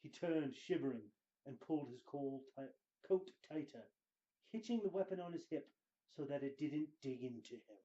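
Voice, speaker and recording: A man giving a hammy, grandiose dramatic reading, drawing out his phrases with theatrical pauses. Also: medium pitch, dark tone, lo-fi sound.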